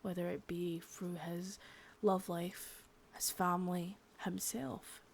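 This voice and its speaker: A woman voicing a sadistic, menacing female boss in an ASMR roleplay, whispering softly with spoken threats between. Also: fairly low pitch, little pitch movement, slow pace, creaky, a little hiss or hum. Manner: taunting and threatening.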